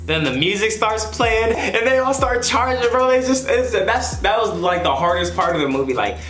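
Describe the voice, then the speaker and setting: An American man in his twenties giving an excitable, slangy, casual movie review to camera as a YouTuber. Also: high-pitched, normal speed, reverberant, music in the background. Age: 20s. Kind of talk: animated casual vlog review. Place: small home room, indoors.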